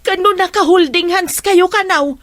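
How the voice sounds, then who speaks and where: Woman playing scolding stern ilocano mom, high voice, dry close sound, radio drama. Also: moderate pace, a bit bright, no echo. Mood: accusing. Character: stern, accusing mother.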